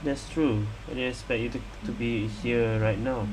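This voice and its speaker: A man's voice: medium pitch, moderate pace. A man giving casual gameplay commentary, musing and reacting aloud as a gaming streamer. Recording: steady hum of room noise.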